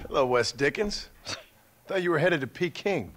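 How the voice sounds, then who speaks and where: An actor playing a dry, world-weary western gunslinger, laconic and wry, in a video game. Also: male voice, fairly high pitch, lively intonation, normal speed, a bit bright, slight background noise. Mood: sardonic. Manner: laconic, sardonic. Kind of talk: video game cutscene acting.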